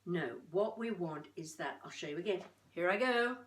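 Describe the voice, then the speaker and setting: An older woman giving an informal voice-coaching lesson to camera in an expressive, chatty, encouraging way with playful asides. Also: on the low side, moderate pace. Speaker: voice coach presenting a tutorial. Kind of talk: informal instructional talk to camera. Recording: echoey room, distant microphone.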